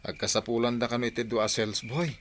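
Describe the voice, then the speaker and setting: A man's voice: medium pitch, level tone, quick pace, a bit bright, slight background noise, lightly acted. An actor playing a hardworking, devoted village father in an Ilocano-accented radio drama. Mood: upbeat. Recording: music behind the voice.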